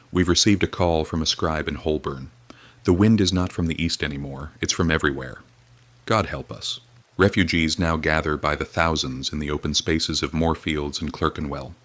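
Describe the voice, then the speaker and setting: An actor playing a weary, grim radio reporter, speaking in a solemn, measured, grave way. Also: male voice, a bass voice, fairly bright tone, no echo. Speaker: field reporter. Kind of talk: dramatic period radio reporting.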